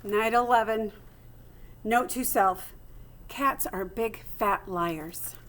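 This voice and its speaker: A woman's voice: mid-range voice, takes it slowly, a bit bright. A woman voicing a small, plucky male hamster who reads his diary aloud theatrically and earnestly, as in a children's storybook. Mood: indignant, nervous. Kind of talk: storybook read-aloud in first person.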